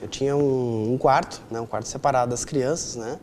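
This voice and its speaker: A man's voice: mid-range voice, a little fast, a little mellow, a little hiss or hum. A man in his twenties from southern Brazil with a southern Brazilian accent, answering conversationally as an interview guest and often adding tag questions for agreement. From Rio Grande do Sul, southern Brazil. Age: 20s.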